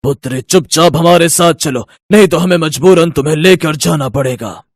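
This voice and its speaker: A man's voice: a little high, moderate pace, no echo, lightly acted. A man voicing a stern, formal god of death who gives patient but insistent commands in a moral story. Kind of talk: animated Hindi moral-story voice acting.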